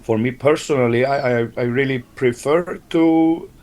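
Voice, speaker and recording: A man from Iceland, Icelandic his first language, speaking English in a casual interview, soft-spoken and hesitant, often repeating words. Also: mid-range voice, normal speed. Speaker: band member, interview guest.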